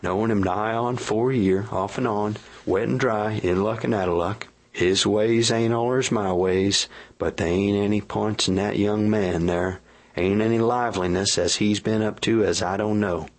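A man voicing a rough, earnest gold miner who speaks in a folksy frontier dialect as he pleads for his partner. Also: fairly low pitch, steady tone, normal speed. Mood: earnest, pleading. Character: rough earnest male gold miner, awkward and plain-spoken.

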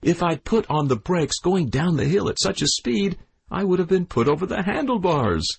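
Man putting on a frightened young boy, high, pleading, husky. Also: sing-song, normal speed, exaggerated voice. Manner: pleading, defensive.

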